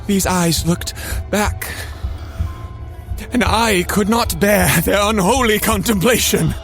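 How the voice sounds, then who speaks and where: An actor playing a tormented scientist, recounting his horror in a halting, grandiose theatrical monologue. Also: high-pitched, a bit bright, soft background music, exaggerated voice. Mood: horrified awe. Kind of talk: theatrical audio drama monologue.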